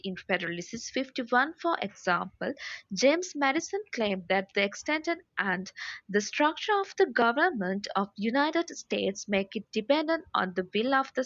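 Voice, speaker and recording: A woman narrates a script in a slightly high voice. The recording is very dry and a bit dull. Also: fairly bright tone, dry recording. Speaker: exam passage reader. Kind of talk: scripted read-aloud narration.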